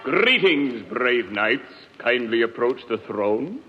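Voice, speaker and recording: A man's voice: medium pitch, sing-song, slow pace, loud music behind the voice. A man announcing and playing a stately king in a declamatory 1940s radio comedy style. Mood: regal, grave. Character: stately king in a comic sketch. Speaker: announcer and supporting player. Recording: echoey, muffled, noisy old radio broadcast.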